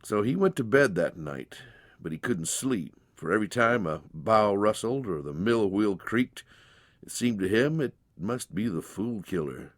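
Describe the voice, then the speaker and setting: A man's voice: fairly low pitch, lively intonation, measured pace, no echo, clean studio sound. A man narrating a dramatized story in a folksy, conversational way. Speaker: narrator, audiobook reader.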